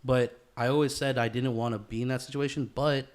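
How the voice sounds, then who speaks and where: Guy hosting a casual podcast, earnest, cusses a lot, lots of bro slang, mid pitched voice. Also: fairly even intonation, a little fast. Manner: earnest, profanity-laced, bro-style slang. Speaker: podcast host.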